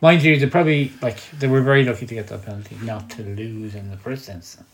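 A man's voice: medium pitch, steady tone, quick pace. Blunt, jokey man. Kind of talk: casual banter among friends.

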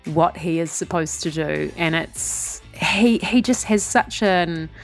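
A woman's voice: lowish voice, takes it slowly, soft background music. Enthusiastic New woman co-host. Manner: enthusiastic, upbeat. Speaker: podcast co-host. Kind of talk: casual podcast chat.

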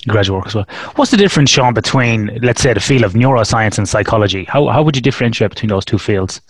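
A man's voice: lowish voice, lively intonation, fast talker, breathy edge, no room sound. A man hosting a conversational podcast interview. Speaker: podcast host.

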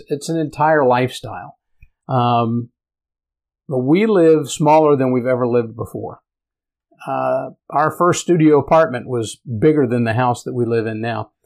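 A middle-aged man talks casually in a raspy voice, working through a list of points one by one. He rambles with frequent ums, uhs and restarts, like a relaxed vlogger thinking out loud. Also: medium pitch. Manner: rambling, many fillers and restarts. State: raspy voice today. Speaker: tiny home vlogger. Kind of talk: casual commentary reacting to a list.

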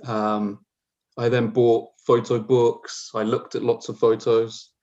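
A man's voice: mid-range voice, flat delivery, takes it slowly, darkish tone, muffled recording. A man in his forties with an English accent, talking reflectively and hesitantly as a guest in a conversational podcast interview. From south coast of England. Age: 40s. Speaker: interview guest, street photographer.

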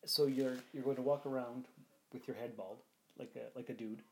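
A man hosting a casual interview, easygoing, tossing out quick follow-up questions. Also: mid-range voice, fairly even intonation, rough recording. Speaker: host.

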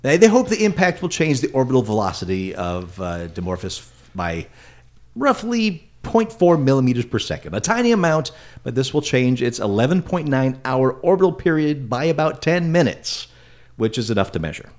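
Male podcast host, reads news items, lively pitch, quick laughs. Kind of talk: casual chat and read news segment.

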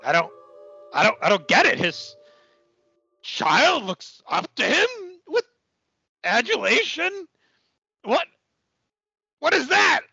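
A man's voice: extremely high pitch, very animated, takes it slowly, vocal fry, long pauses, sharp tone, slightly rough, no room sound, exaggerated voice. A man playing a ruthless, baffled wrestling tycoon with an exaggerated, incredulous manner in a comedic audio play. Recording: dull lo-fi audio with music.

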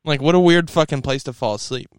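A man's voice: a little high, quick pace, smooth, dry recording. A young man hosting a podcast in a laid-back, joking way, swearing freely. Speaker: podcast host. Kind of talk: casual chat.

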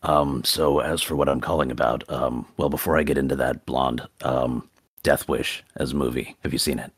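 A man calling in to a talk show, with a very deep voice, speaking slowly and hesitantly with many fillers and dry, deadpan humor. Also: slightly rough, no echo. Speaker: caller. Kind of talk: casual call-in chat.

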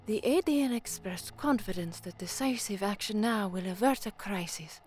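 A woman's voice: medium pitch, normal speed, a little mellow, slight background noise, natural voice. A woman playing a formal news broadcaster reading an official bulletin in a science fiction audio drama. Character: formal news broadcaster in a grim sci-fi world.

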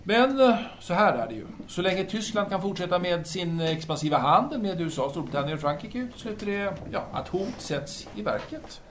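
A man's voice: sits high, lively intonation, few pauses, slight background noise. An actor playing a self-assured, genial male intellectual in an audio drama, hemming and repeating himself in an avuncular manner. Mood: dismissive, explaining. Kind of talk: Swedish audio drama dialogue.

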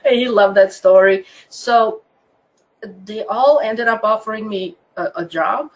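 A woman's voice: medium pitch, a little slow. A woman in her fifties from Croatia, speaking English with a Croatian accent as an interview guest, telling her story in a chatty way with frequent laughs and restarts. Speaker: guest, land surveyor.

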